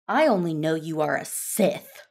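Woman as defiant Jedi warrior, very low gravelly voice, slow, stern, solemn, audio drama. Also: lively intonation, a little mellow, full character voice. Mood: defiant, accusing. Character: grim, defiant young Jedi warrior sister. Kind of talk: science fantasy audio drama acting.

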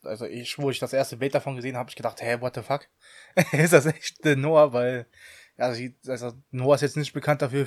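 A man's voice: mid-range voice, no room sound. Chatty young man, dry close sound. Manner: chatty, mixes English slang into his talk, laughs often. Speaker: podcast co-host. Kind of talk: casual chat.

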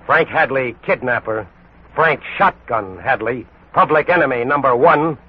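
An American man narrating a 1940s radio crime drama in a solemn, dramatic way with emphatic pauses. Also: mid-range voice, slightly rough. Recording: tinny 1940s radio recording, music behind. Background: music.

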